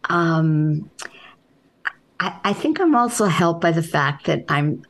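A woman author with a soft voice, answering interview questions in an unhurried, reflective way with halting restarts. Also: low-pitched, lively intonation.

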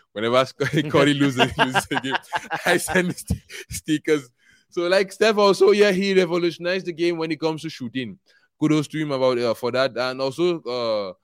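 A young man, talking through his views as a podcast guest. His voice is smooth and resonant, recorded close and dry. He rambles with fillers and breaks into easy laughs. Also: mid-range voice, no room sound. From Nigeria. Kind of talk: casual interview chat.

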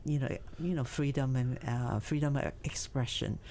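A woman speaks in a notably low voice. She answers in short halting phrases, pausing on fillers as she searches for words. Faint noise sits behind her. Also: warm tone. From Hong Kong. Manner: hesitant, halting speech with many fillers. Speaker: documentary filmmaker and teacher.

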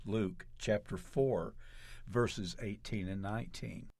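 Slow-paced man reading expressively. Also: lowish voice, steady tone, gravelly, darkish tone, no room sound. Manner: measured, deliberate, dramatic emphasis. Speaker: pastor narrating his article. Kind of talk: expressive scripted narration.

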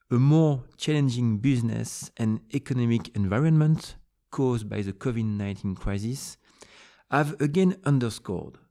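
A man's voice: mid-range voice, warm tone. A man hosting a podcast interview, asking prepared questions in English in a formal, read-aloud way. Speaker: podcast host.

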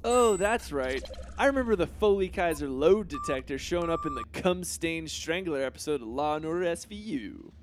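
A man's voice: a little high, animated, normal speed, noisy background, natural voice. A man voicing a crude, petulant teenage boy superhero in an adult cartoon parody. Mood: boastful.

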